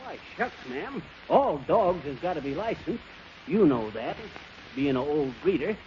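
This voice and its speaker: A man's voice: on the high side, big pitch swings, unhurried, a little mellow. An actor playing a brash, folksy, uneducated American kennel man, slangy and familiar, in 1930s radio comedy style. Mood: matter-of-fact. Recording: very noisy, muffled 1930s radio recording.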